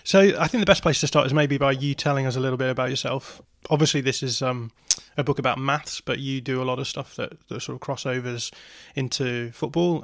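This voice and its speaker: A male host with a mid-pitched voice in a very dry recording. He speaks conversationally, as an interviewer putting questions to a guest. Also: no echo.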